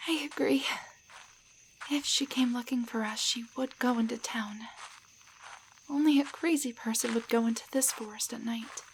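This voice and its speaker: A woman's voice: on the high side, slight background noise, low-quality recording. A woman playing a tiny, frightened enchanted doll, a cursed waitress, pleading hesitantly in a roleplay audio drama. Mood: wary, hushed. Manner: breathy, hesitant, urgent pleading.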